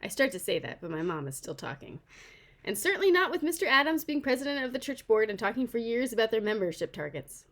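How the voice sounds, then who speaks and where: Expressive woman reading a children's novel aloud. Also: on the high side, fast. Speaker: author reading own book.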